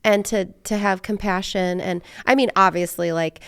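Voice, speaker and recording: A woman's voice: medium pitch, steady tone, normal speed, a bit bright, clean tone, dry recording, clean studio sound. An American woman hosting a conversation, talking casually and reflectively as she rambles and thinks aloud. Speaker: podcast host.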